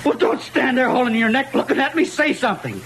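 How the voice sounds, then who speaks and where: An actor playing a hot-tempered, aggrieved working-class husband, agitated and pleading, in an old-time radio drama. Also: male voice, extremely high pitch, animated, fast talker, a bit bright, slight background noise, full character voice. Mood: shaken, defensive.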